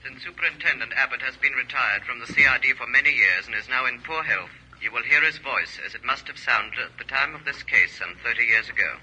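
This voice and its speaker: A man's voice: medium pitch, fairly quick, very noisy. A British man giving solemn scripted announcements for a vintage radio crime drama, on a dull, heavily reverberant old recording.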